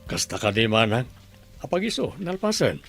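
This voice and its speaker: An actor playing a gentle middle-aged widowed father, a village tailor, in a radio drama. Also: mid-range voice, very animated, normal speed, faint music behind. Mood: polite. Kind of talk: Ilocano radio drama acting.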